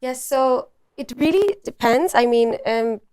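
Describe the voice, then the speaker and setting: A woman's voice: high-pitched, animated, a little slow, a little mellow, clear, resonant tone, no echo. A woman speaking as a student recruiter, giving orderly, informative explanations on a talk show.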